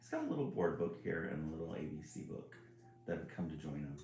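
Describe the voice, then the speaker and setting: A man's voice: very deep voice, sing-song, fast talker, dark tone, roomy sound, a little hiss or hum. A man hosting a casual read-aloud stream, chuckling and greeting viewers by name as he reads a children's book.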